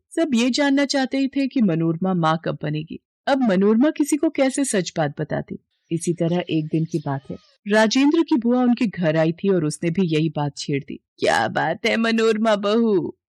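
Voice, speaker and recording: A woman's voice: fairly low pitch, a little mellow, dry recording. Woman narrating a story, dry close sound. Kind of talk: scripted dramatic story narration.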